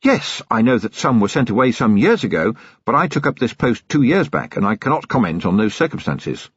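A prim, nervous lawyer, as a male narrator puts him on answers in stiff, formal sentences. The voice is slightly husky. The audiobook sound is dry and a little dull. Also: mid-range voice, sing-song, fairly quick, no room sound.

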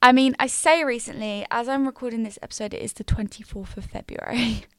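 A woman of twenty, hosting a casual solo podcast in a small quiet room, candid and upbeat with slangy asides. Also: a little high, brisk, no room sound, studio quality. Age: 20s. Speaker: podcast host. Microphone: studio mic.

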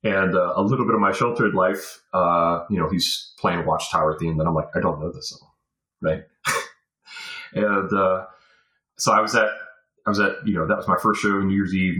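A man's voice: low voice, pushed and bright. An American man in his forties from Virginia, reminiscing casually as a podcast guest in a rambling way. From Virginia, USA. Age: 40s. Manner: rambling, punctuated with "you know". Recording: roomy, echoey sound.

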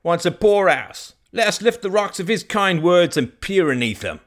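A man voicing a blunt old rustic farmer who speaks in a folksy, deliberate way. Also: high-pitched, sing-song, pitched above the performer's own voice. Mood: gruff persuasion.

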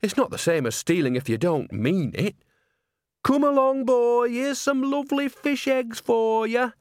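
A man's voice: very high voice, lively intonation, unhurried, no echo, clean studio sound, voice pushed up. A man voicing a doting, cheeky old countrywoman in a storybook, with a cooing, folksy manner. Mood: hurt, defensive.

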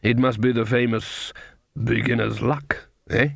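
A man voicing a smooth-talking card sharper with a drawling, courteous, falsely friendly manner, as an audiobook character. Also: mid-range voice, little pitch movement, a little slow, no echo, clean studio sound. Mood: mock dismay.

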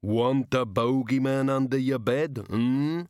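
A man voicing a menacing, hairy male bogeyman with a slow, taunting drawl. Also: medium pitch, darkish tone, no room sound, clean studio sound, natural voice. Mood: leering. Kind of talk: audiobook character voice.

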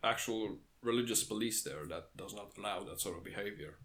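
A man's voice: lowish voice, brisk, a bit bright. A man co-hosting a casual podcast chat, speaking in a dry, matter-of-fact way and laughing along. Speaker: podcast co-host.

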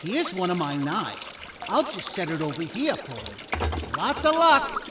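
Noir audio drama: male actor as bossy, exasperated gangster big brother, very animated, distant in a roomy space. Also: high voice, unhurried, exaggerated voice. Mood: menacing, mocking. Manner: bossy, sneering, easily exasperated. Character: older brother, bossy knife-carrying 1930s gangster thug. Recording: roomy, distant, slightly noisy.

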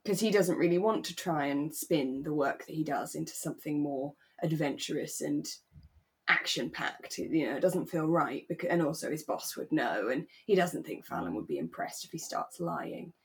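Expressive woman chatting. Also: low voice, normal speed, breathy edge. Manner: animated, dramatic storytelling with acted-out asides. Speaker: podcast co-host; actor. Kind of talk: relaxed chat about a radio drama.

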